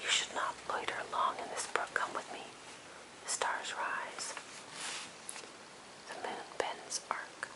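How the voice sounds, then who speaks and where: Soft whispered ASMR poetry reading. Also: poor audio. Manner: soft, unvoiced whisper with gentle pauses between phrases. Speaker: ASMR reader.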